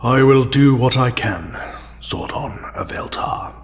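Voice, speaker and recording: A man playing an ancient, solemn alien sage in an audio drama, speaking in a measured, deliberate way. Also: medium pitch, vocal fry. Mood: resolved, explaining.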